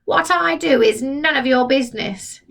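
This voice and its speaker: A woman's voice: moderate pace, vocal fry, far from the mic, full character voice. A woman voicing a cranky, bossy old grandmother who nags rudely and screeches in panic. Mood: nagging. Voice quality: shrill, piercing screech. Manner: nagging and rude, then frantic yelling. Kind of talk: storybook character voice.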